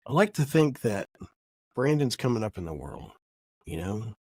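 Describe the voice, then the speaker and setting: A man's voice: on the low side, big pitch swings, normal speed, darkish tone, husky, no room sound, studio quality. A middle-aged man with a cold and a nasal voice, talking through a casual, reflective podcast monologue that rambles and corrects itself as he goes. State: has a cold. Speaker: podcast host.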